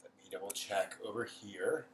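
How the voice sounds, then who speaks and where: A man's voice: on the low side, normal speed. A man hosting a casual, unscripted cooking live stream, thinking aloud in a hesitant way and trailing off as he works. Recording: roomy echo, background noise.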